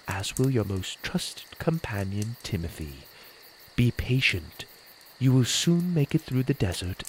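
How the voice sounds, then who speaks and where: A man voicing a solemn mystical moon spirit, speaking softly and slowly. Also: medium pitch, a little hiss or hum, low-quality recording.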